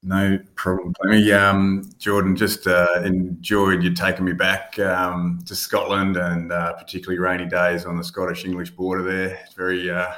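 A man's voice: low-pitched, moderate pace, few pauses. An Australian man from Australia, a guest chatting casually with jokes and plenty of hesitation. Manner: relaxed, joking, hesitant fillers. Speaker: guest, sales and marketing manager. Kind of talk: casual podcast chat.

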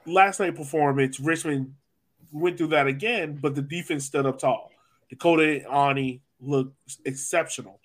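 A man hosting a sports podcast, talking soccer casually in an animated, informal way with jokey asides. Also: on the high side, moderate pace. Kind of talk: casual soccer chat and analysis.

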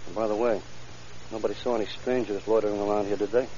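An actor playing a brisk, no-nonsense police detective in an old-time radio drama. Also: male voice, fairly low pitch, steady tone, dark tone, slight background noise, lightly acted. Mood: businesslike. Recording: dull old radio recording.

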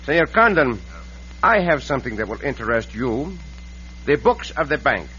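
An actor playing a dashing, courteous Mexican cowboy vigilante in an old radio western, with a Mexican Spanish accent and suave, formal phrasing. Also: male voice, medium pitch, sing-song, normal speed, crowd noise, natural voice. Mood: accusing, formal. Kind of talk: 1940s-50s radio western acting. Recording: dull, lightly noisy old radio recording.

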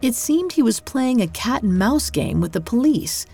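A woman hosting a podcast, reading scripted true-crime narration in a measured, dramatic storytelling manner. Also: fairly high pitch, big pitch swings, gravelly, a little mellow, slightly rough. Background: music.

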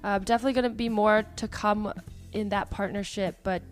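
Raspy worn-out woman hosting a podcast, chatty and upbeat, lots of ums, middle pitch. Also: steady tone, a little fast, faint music behind. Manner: chatty and upbeat, many fillers. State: hoarse, partly lost voice. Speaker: podcast host. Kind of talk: casual podcast chat.

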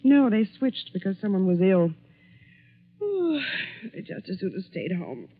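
Evasive wife, breathy husky voice, very expressive swings, hesitant, 1940s radio drama. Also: female voice, medium pitch, unhurried. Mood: weary, evasive. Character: professor's flustered, evasive wife under suspicion. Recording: muffled, narrow-band 1940s radio recording.

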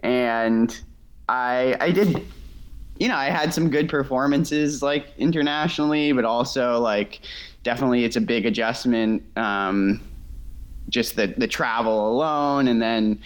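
A man's voice: medium pitch, measured pace, clean tone. A young man from Massachusetts, a guest in a casual interview over a remote call, talking in a hesitant way with many fillers and restarts. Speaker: guest, ultra runner.

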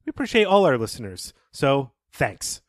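A man co-hosting a podcast, talking casually and explaining things in a measured, thoughtful way. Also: mid-range voice, big pitch swings, dry recording, studio quality. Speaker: podcast co-host. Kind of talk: casual podcast talk and story recap.

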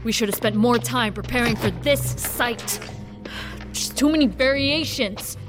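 A tense female operative, played by a woman, speaks urgently in a high voice. Music runs beneath her. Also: a little slow, lightly acted. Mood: worried. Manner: urgent, worried. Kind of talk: audio drama acting. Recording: music underneath.

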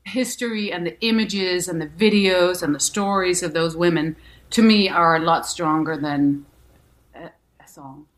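A woman from Denmark speaks in a somewhat low voice, answering an interviewer frankly. She restarts often and leans on "you know" as she talks. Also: a little slow. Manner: candid, many restarts and "you know" fillers. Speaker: actress and musician guest.

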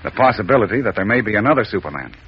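Curious bruce wayne, 1940s radio drama, dull broadcast with light hiss. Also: male voice, on the low side, sing-song, racing. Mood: curious, eager.

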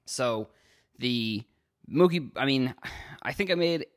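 A man's voice: medium pitch, animated, takes it slowly. A young man from Cape Cod, hosting a casual solo sports podcast, rambling and restarting his sentences. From Cape Cod, Massachusetts. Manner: rambling, restarts sentences, stumbles over words. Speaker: podcast host.

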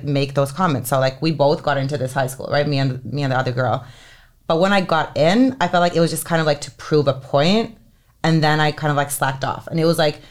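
A woman in her thirties from Finland, an entertainment lawyer talking as a podcast guest in a chatty way, full of "like" and "um". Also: deep voice. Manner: chatty, heavy use of "like" and "um" fillers. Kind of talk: casual interview chat.

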